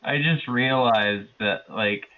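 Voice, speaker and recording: A man's voice: mid-range voice, slow pace. A man playing a tabletop roleplaying game, talking casually about what his character does. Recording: loud noise, dull muffled microphone.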